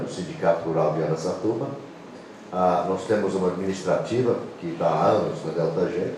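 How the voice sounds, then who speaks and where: Low-voiced Englishman, very echoey room. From England. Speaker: guest presenter. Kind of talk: informal explanatory talk to an audience. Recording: echoey room, distant mic, clear noise.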